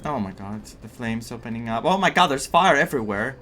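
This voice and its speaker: A man's voice: mid-range voice, expressive, brisk, a bit bright. A man doing casual playthrough commentary as a gaming streamer, reacting with muttered asides and dramatic repetition. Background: game music.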